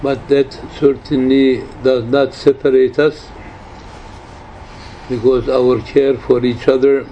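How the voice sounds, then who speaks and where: Man in his nineties, slow halting speech, mellow tone, religious teacher, emphatic repetition, informal spiritual talk. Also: mid-range voice, level tone. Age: 90s. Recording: dull lo-fi recording, faint background noise.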